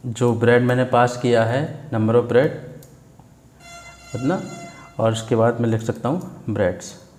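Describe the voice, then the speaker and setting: An Indian man teaches in an informal screen-share tutorial style, recorded with a roomy echo. His pitch is average for a man. He explains step by step, posing questions, answering them and checking in often. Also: measured pace, darkish tone. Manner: didactic, asks and answers own questions, frequent check words. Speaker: programming tutor. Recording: echoey, roomy sound.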